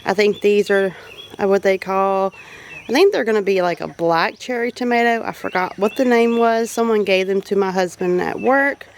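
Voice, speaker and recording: A woman's voice: mid-range voice, moderate pace, slight background noise. Casual homestead vlogger: chatty, folksy Southern US woman, mid-range voice, recorded outside with light animal and bird ambience. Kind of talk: casual garden tour narration. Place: outdoors in a home garden. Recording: outdoor ambience with animal noises. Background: birdsong and farm animal sounds.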